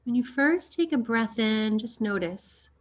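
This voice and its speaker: A woman teaching yoga nidra, guiding a relaxation meditation in a gentle, soothing, unhurried way with long pauses. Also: a little high, lively intonation.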